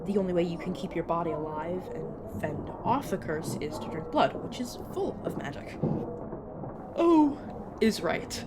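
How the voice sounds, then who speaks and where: A woman playing a brisk, casual captor explaining things wryly and patiently in an audio roleplay, talking straight to the listener. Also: lowish voice, lively intonation, heavy background noise, close to an everyday voice. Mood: wry, matter-of-fact. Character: brisk, casual female magic-wielding captor and guide. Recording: loud sound effects and ambience behind.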